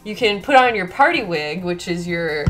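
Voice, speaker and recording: A woman's voice: lowish voice, expressive, normal speed. A young woman chatting casually to viewers as a livestream host, playful and self-deprecating, with game music playing behind her. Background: video game remix music.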